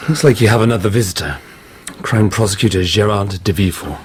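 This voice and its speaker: An actor playing a loyal manservant and old friend in a radio drama, casual and familiar in manner. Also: male voice, fairly low pitch, fast talker, slight background noise, lightly acted. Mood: familiar, pleased. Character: loyal, informal male servant and old friend.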